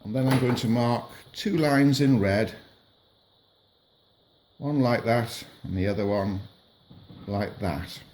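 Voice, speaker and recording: A man's voice: medium pitch, slow, pauses often, slight background noise. A middle-aged man, patiently explaining a lesson to young children while he marks up a map. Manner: patient, gentle, narrates while drawing on a map.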